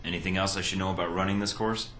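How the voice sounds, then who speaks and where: A man's voice: sits low, steady tone, rapid-fire, noisy background, close to an everyday voice. An actor playing a young male spy recruit in a video game, wry and laconic, with dry understatement. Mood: cool, curious. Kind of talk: video game cutscene acting. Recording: roomy echo, music behind.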